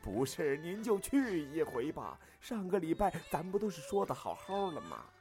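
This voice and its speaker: A man's voice: on the high side, sing-song, moderate pace, darkish tone, faint music behind. A man playing a middle-aged, fawning opera troupe boss who wheedles and exclaims in a radio drama. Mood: pleading. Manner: obsequious, pleading, exclamatory.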